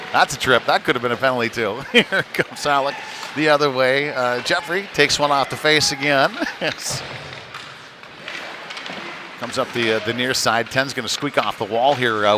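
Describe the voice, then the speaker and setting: A man giving halting, conversational live play-by-play of a youth hockey game in a folksy manner. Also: mid-range voice. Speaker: play-by-play commentator. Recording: noisy live rink stream.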